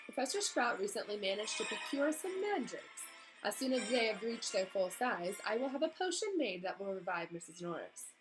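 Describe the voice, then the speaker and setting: A woman voicing a patient, firm male wizard headmaster in a storybook, speaking in a measured way. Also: mid-range voice, big pitch swings, music in the background, lightly acted, low-quality recording.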